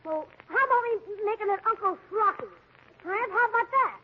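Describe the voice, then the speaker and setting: Cheeky twelve-year-old boy, very high voice, slangy and pestering, old radio comedy, dull recording. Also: animated, brisk. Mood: cheeky, insistent. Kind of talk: 1940s radio comedy acting.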